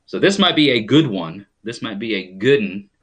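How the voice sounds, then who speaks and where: A man's voice: fairly low pitch, animated, normal speed. A man from rural New York hosting a live stream, chatting casually and rambling with frequent ums as he reads viewers' comments. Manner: greets viewers and reads their comments aloud.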